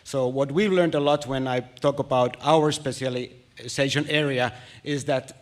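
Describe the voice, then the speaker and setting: Man giving a relaxed panel answer. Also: medium pitch, a little slow. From Tanzania. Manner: relaxed, thinking aloud with restarts. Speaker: panelist, university focus area lead.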